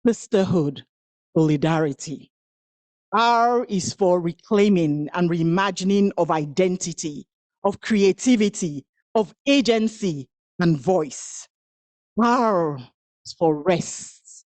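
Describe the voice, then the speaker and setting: Woman, first language Igbo, spoken-word poetry, slow and incantatory, dry close sound. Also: fairly low pitch, a little mellow, no echo. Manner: rhythmic, incantatory delivery with long pauses. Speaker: speaker at a women's event.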